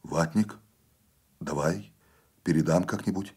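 A man acts out a reluctant partisan executioner in a radio story. His voice is very low and husky, terse and uneasy, muttering his words. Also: unhurried, darkish tone. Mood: evasive, subdued.

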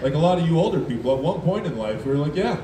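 A man in his thirties, from Alberta, with a deep voice, performing a deadpan, self-mocking stand-up comedy set and teasing the audience. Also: very fast, very noisy. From Canada (Alberta). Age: 30s. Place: outdoor festival stage in a city park at night. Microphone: PA speakers recorded by a phone in the crowd. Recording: echoey amplified sound from far off. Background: laughing, cheering crowd.

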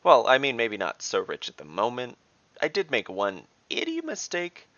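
A man's voice: medium pitch, wildly swooping pitch, normal speed, sharp tone, no echo, muffled recording, pitched above the performer's own voice, exaggerated voice. A man playing a young warrior princess in a fantasy audio story, casual, emphatic and defiant. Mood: breezy, defiant.

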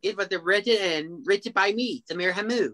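Young man with a high-pitched voice. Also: normal speed, a bit bright. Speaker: playwright being interviewed. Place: indoor room on a video call. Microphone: computer video call.

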